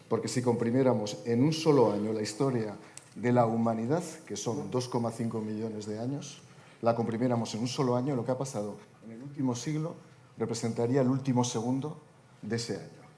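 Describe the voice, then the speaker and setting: A man's voice: mid-range voice, fast, mellow, a little hiss or hum. A man in his fifties speaking on a conference panel, delivering an oratorical talk with wry, joking asides. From Spain. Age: 50s.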